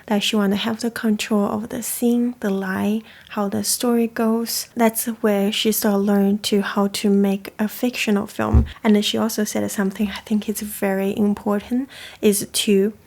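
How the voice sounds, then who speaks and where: A woman's voice: mid-range voice, flat delivery, moderate pace, gravelly, a little mellow. A young woman from China, talking casually and thoughtfully as the host of a coffee chat. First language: Chinese. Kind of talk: casual reflective talk.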